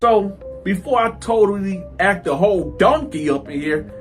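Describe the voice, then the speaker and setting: Animated man telling a story. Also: normal speed, soft background music. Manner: animated storyteller, jumps into high voices for quotes. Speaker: storytime channel host. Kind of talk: casual storytelling vlog.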